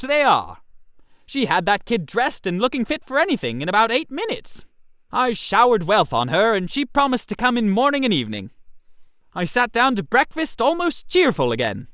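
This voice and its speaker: A storyteller giving an expressive, wry, theatrical narration of a comic tale. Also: mid-range voice, dry recording, clean studio sound.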